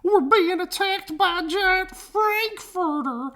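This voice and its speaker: A panicky, ornery storybook cat. The voice leaps very high and shrieks with melodramatic fright. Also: female voice, takes it slowly, a bit bright, exaggerated voice. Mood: panicked. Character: ornery cats screaming for help, panicky and melodramatic.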